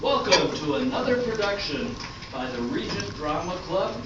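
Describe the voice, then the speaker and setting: An older man presenting an amateur radio play on stage in a slow, scripted way. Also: high-pitched, big pitch swings. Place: indoor hall at a live performance. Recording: roomy echoing hall, distant mic, dull, faint noise.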